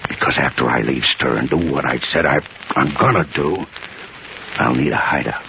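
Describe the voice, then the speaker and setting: Very deep-voiced menacing gangster. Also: male voice, normal speed. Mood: gruff, commanding. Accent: American. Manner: gruff, domineering, clipped tough-guy slang. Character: menacing, bitter big-city gangster and convict. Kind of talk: 1950s radio drama acting. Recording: muffled, noisy vintage radio recording.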